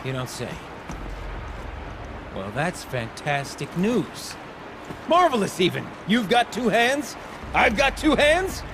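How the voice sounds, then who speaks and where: Theatrical, excitable, high-pitched old eccentric. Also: male voice, takes it slowly, pauses often, noisy background, full character voice. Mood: exuberant. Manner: theatrical, wordy, grandiloquent. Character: eccentric, excitable older man, rambling self-taught tinkerer. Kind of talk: video game character acting.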